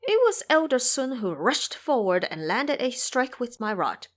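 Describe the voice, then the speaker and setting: A brash monkey disciple for a fantasy audio drama, acted out by a woman. The voice sits high and swings widely in pitch. It is swaggering, emphatic and commanding, with a protective streak. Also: big pitch swings, measured pace. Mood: boastful reporting.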